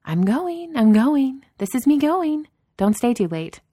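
A woman playing a brash, lively teasing lady boss. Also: high-pitched, a little slow, no room sound, clean studio sound, pitched above the performer's own voice, heavily acted. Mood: smirking. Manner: teasing, mock-dramatic. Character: brash teasing senior executive woman, theatrically sarcastic.

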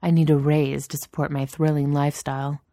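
Grumpy male desk worker, acted by a woman reader, dry wit, gruff delivery, low pitch, dry close storytelling sound. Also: brisk, no room sound. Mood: dry sarcasm. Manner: gruff, deadpan, sarcastic. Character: grumpy, dry-witted, caffeine-starved male office writer.

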